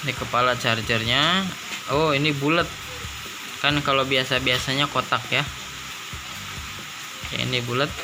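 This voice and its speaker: A man's voice: medium pitch, steady tone, a little slow, pauses often, rough recording. A man giving a casual unboxing commentary, informal and thinking aloud as he goes. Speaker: product reviewer. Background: music.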